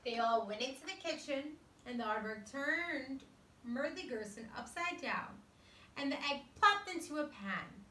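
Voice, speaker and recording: A woman teacher reading a storybook aloud to young children in an expressive way, pausing to put questions to them. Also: high-pitched. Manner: expressive, dramatic emphasis, pausing to question listeners. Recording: roomy, distant mic with faint noise.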